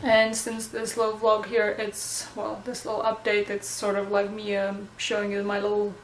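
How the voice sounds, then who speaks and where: A young woman with a Romanian accent, a native Romanian speaker, chatting casually in a home vlog and often pausing to search for words. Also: medium pitch, monotone, a little hiss or hum, poor audio. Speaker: vlogger.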